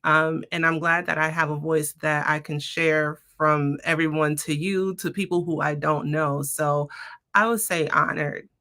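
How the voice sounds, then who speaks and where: A woman's voice: low-pitched, steady tone, measured pace, sharp tone. A woman speaking as a podcast guest, giving casual, conversational answers in an explanatory, anecdotal way.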